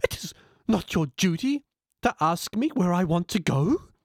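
Narrator voicing storybook philosopher, high-pitched, pompous, impatient, lively swoops. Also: a little slow, no room sound, studio quality. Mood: indignant. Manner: shouting, impatient, self-important. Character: hurried, pompous male university philosopher.

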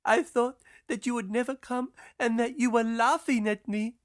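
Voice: mid-range voice, steady tone, moderate pace, no echo, studio quality, voice pushed up, natural voice. A storyteller voicing an easily upset young sweetheart in a plaintive, exclaiming way. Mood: remorseful.

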